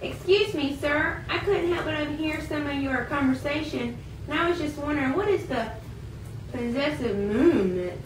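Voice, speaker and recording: A woman's voice: high voice, moderate pace, natural voice. A woman playing a polite, curious small boy in an amateur puppet skit. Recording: echoey room, distant mic, noisy.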